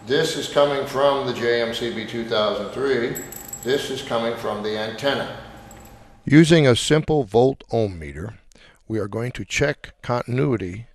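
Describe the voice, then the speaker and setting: A man giving a hands-on instructional demonstration as a technician, explaining step by step in plain-spoken language. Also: medium pitch. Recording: very echoey, reverberant room with background noise.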